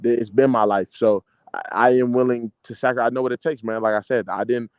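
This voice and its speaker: A man's voice: mid-range voice, steady tone, fast talker, clear, resonant tone, dry recording, lo-fi sound. A man in his mid-twenties with an American accent, an amateur boxer chatting casually in an interview in slangy, confident, repetitive phrases. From United States, DC-Maryland-Virginia area. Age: mid-20s. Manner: slangy, confident, emphatic, repeats himself.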